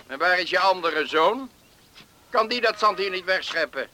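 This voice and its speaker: A man's voice: sits high, expressive, moderate pace, bright, forceful tone, a little hiss or hum, exaggerated voice. An actor playing a nosy, disapproving male farmer neighbour, prying and critical, in a Dutch radio play.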